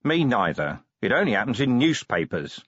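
A man voicing a grumpy, sardonic police commander in an audiobook, with a gruff, dry, sarcastic manner. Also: mid-range voice, sing-song, normal speed, a bit bright, no room sound, highs cut off, voice pushed up. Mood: wry. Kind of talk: audiobook character voice.

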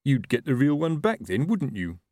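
A man reading a comic novel puts on a police captain who is pompous and easily nettled. He sounds weary and colloquial, a little slow on the uptake. The delivery is very animated and close to the mic. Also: medium pitch, no room sound, voice pushed up, natural voice. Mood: self-satisfied. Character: self-important, tetchy, slow-witted old-school police captain.

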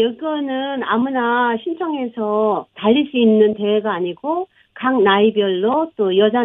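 A woman's voice: on the high side, no echo. A woman in her sixties, a marathon runner, talking as a guest in a radio interview, explaining earnestly with frequent fillers. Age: 60s. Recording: tinny phone line.

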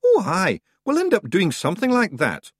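A man's voice: high-pitched, huge pitch swings, normal speed, dry recording, clean studio sound, voice pushed up, full character voice. A man voicing a small, cocky fairy man with a broad, boisterous Scottish accent in an audiobook. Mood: brash cheery. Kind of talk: audiobook character voice.

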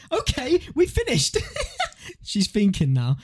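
A man's voice: very high-pitched, wildly swooping pitch, takes it slowly, husky, no echo, studio quality. A young man co-hosting a podcast, bantering casually in a slangy, jokey, incredulous way. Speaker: podcast co-host. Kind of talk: casual banter, reacting to submitted stories.

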